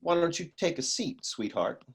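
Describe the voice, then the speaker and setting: A man playing a cocky, cool, lecherous private eye with deadpan swagger in a comic noir radio play. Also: fairly high pitch, moderate pace, vocal fry, slightly rough, full character voice.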